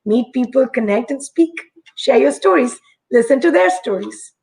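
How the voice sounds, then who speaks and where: A young adult woman from India with a husky voice, a guest telling her story in an earnest, reflective way. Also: high voice, animated, moderate pace, darkish tone, low-quality recording. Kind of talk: live-streamed conversation.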